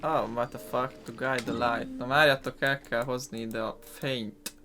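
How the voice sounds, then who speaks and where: A man's voice: mid-range voice, steady tone, normal speed, over music. A man with a Hungarian accent giving casual, rambling let's play commentary, thinking aloud as he plays. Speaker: gaming YouTuber.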